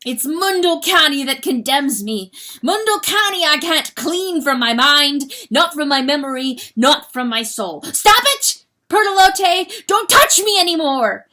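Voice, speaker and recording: A woman's voice: high-pitched, animated, a little slow, hardly any pauses, pushed and bright, pitched above the performer's own voice, heavily acted. A woman voicing an anguished, guilt-ridden male rooster in a dramatic animal fantasy audiobook, wailing and choked with self-loathing. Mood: frantic self-condemnation. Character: anguished guilt-wracked male rooster, once a proud lord.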